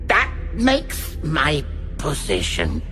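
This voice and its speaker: An actor playing a cold, boastful, menacing criminal mastermind in a radio drama, with theatrical, deliberate delivery. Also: male voice, on the high side, huge pitch swings, pushed and bright, shouting, faint music behind, heavily acted. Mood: grandiose. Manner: slow, deliberate, theatrical, grandiose.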